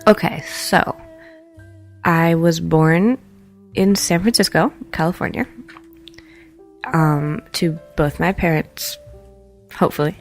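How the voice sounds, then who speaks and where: Casual low-voiced woman with heavy creak. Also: slow, pauses often, soft background music. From Israel. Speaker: interview guest, jazz bassist. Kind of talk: casual chat.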